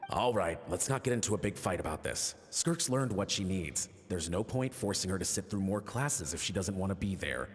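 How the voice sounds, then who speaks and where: A man playing a gentle, indulgent, easygoing father in a video game. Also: deep voice, animated, moderate pace, hardly any pauses. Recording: long reverb, clear background music.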